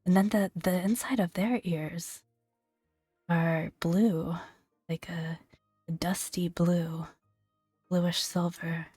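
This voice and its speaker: A woman doing soft-spoken ASMR game commentary in a breathy, gentle near-whisper, murmuring along without hurry. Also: fairly low pitch, steady tone, slow pace, long pauses, no echo, clean studio sound, soft background music. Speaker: video game content creator.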